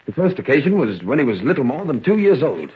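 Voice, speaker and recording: Grave gentleman father, radio melodrama, rough husky voice, muffled old recording. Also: mid-range voice, sing-song, quick pace. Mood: grave, haunted. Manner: solemn, melodramatic. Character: troubled, grave gentleman father of a wicked son. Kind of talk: old-time radio drama acting.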